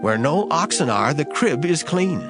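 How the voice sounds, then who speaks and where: Male reader for dramatized scripture, solemn and declamatory, very animated intonation, set over music. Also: a little high, normal speed. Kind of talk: dramatized Bible reading.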